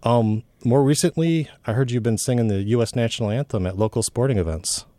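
A man hosting a casual interview, asking questions in a relaxed, conversational way with ums and restarts. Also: medium pitch, brisk, dry recording. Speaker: interview host.

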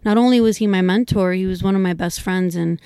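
A woman's voice: medium pitch, fast, warm tone, clean tone, no echo, clean studio sound. A woman in her thirties, a native Spanish speaker, talking earnestly as a guest musician and teacher in a casual interview, with frequent ums and repeated words. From United States, Cuban family.

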